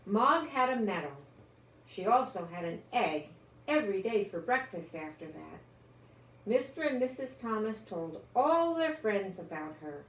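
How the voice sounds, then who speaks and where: An older woman reading a children's picture book aloud, expressively, with gentle exclamations and asides to young listeners. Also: medium pitch, big pitch swings, unhurried. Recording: echoey room with some background noise.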